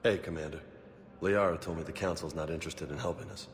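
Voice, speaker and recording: A man's voice: a bass voice, fairly even intonation, fast, big-room sound. A man playing a streetwise, disillusioned soldier in a video game, talking in a blunt, slangy way. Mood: brooding.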